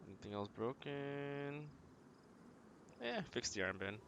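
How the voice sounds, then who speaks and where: A man's voice: mid-range voice, expressive, takes it slowly, background noise. A man giving casual gameplay commentary as he streams a survival game, muttering short, playfully quaint remarks to himself.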